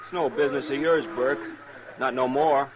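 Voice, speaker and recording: A man's voice: mid-range voice, fairly even intonation, normal speed, dull audio, a crowd in the background, natural voice. A man playing a surly, headstrong young American cattle boss in an old radio western, curt and defiant. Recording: noisy old radio recording.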